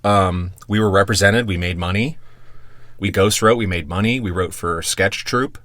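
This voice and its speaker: A man co-hosting a podcast, telling stories in casual chat, animated and swearing, acting out the voices of people he quotes. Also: sits low, moderate pace, no echo. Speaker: podcast co-host.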